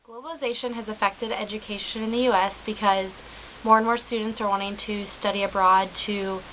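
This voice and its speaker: A woman's voice: medium pitch, fairly even intonation, brisk, fairly bright tone, rough recording. A young woman, a university senior, giving composed, thoughtful interview answers. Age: young adult. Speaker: student interviewee. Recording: clear hiss.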